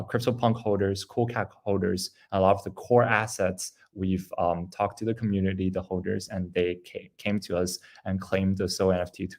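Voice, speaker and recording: A man's voice: sits low, vocal fry. A man presenting his game project on a video call, talking loosely with many ums and restarts. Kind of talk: casual online presentation.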